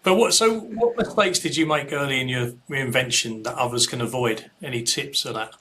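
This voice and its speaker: Bright-voiced man in his fifties hosting. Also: mid-range voice, moderate pace, slight background noise. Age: 50s. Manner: rambling, loosely phrased questions. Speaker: podcast host. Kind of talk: casual interview conversation.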